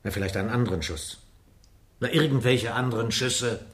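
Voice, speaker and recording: An actor playing a brisk, insistent police inspector in a radio drama, questioning in a businesslike way. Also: male voice, mid-range voice, natural voice. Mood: brisk, probing. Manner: interrogating, businesslike.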